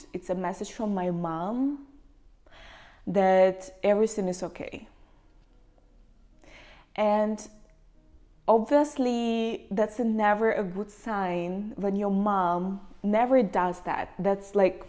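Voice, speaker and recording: A young woman with a Ukrainian accent, telling a personal story to camera in a halting, emotional way, close to tears. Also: medium pitch, darkish tone. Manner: emotional, halting, near tears, with many pauses and fillers. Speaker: vlogger.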